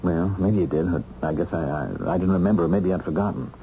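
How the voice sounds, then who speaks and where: A low-voiced man, an actor playing an earnest ex-convict husband in a radio play, measured and wry with small hesitations. The old recording is dull and a little noisy. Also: lightly acted. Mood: earnest, intent. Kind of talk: radio drama acting.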